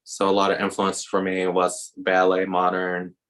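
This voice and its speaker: Podcast host, male, deep and monotone, low-key and even. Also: normal speed. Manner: self-described introvert, even-toned. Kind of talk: casual interview chat.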